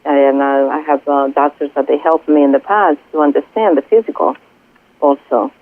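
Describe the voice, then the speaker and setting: A woman from Greece with a Greek accent, a spiritual coach speaking earnestly and haltingly as a guest on a radio interview. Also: sits low. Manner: earnest, halting, many pauses and fillers. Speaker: spiritual coach and Reiki healer. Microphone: telephone. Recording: tinny phone line, dry.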